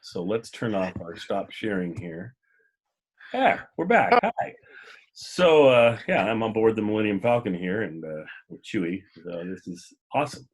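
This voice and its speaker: Husky-voiced man hosting a podcast. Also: on the low side, very animated, measured pace. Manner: rambling, jokey. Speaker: podcast host. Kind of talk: casual podcast chat.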